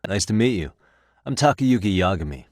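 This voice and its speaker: A man's voice: lowish voice, expressive, fairly quick, no echo, studio quality. A man playing a cool, principled, easygoing investigator in an English-language video game, speaking in a casual, self-assured and earnest way.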